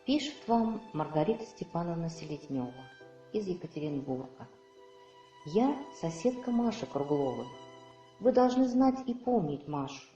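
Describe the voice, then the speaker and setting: Mellow, echoey elderly woman played by an actress. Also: on the low side, lively intonation, normal speed, close to an everyday voice. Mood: sombre, measured. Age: older. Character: elderly woman neighbour writing a sombre letter. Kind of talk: radio play letter monologue. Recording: dull muffled sound, roomy echo, music behind.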